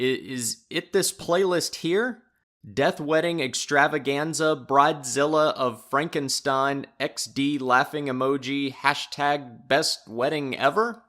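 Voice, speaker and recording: A man's voice: mid-range voice, measured pace, a bit bright, natural voice. An actor playing a patient, puzzled man in authority who questions people formally in an audio drama. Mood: patient, baffled. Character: measured, puzzled estate arbiter hearing a dispute. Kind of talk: acted audio drama dialogue.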